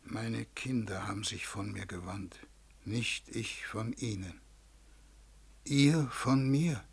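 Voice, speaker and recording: An actor in a 1940s radio play as God, a feeble, sorrowful old man with a soft, plaintive voice. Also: on the low side, slow pace, heavily acted. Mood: lamenting. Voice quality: soft, husky. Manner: whining, plaintive.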